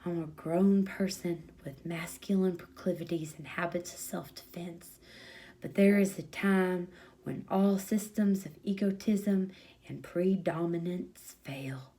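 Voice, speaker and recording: A woman's voice: lowish voice, fairly even intonation, measured pace, warm tone. A woman voicing an exasperated, beleaguered grown male crook with pompous, folksy wording in a storybook reading. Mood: weary, defeated. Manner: pompous, wordy, folksy speech.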